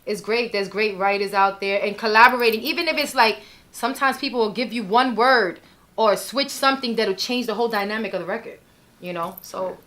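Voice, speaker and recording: A woman's voice: mid-range voice, a little fast, a bit bright. A woman, chatting casually as a podcast guest, full of you-knows and likes. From New York, Spanish Harlem. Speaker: guest singer.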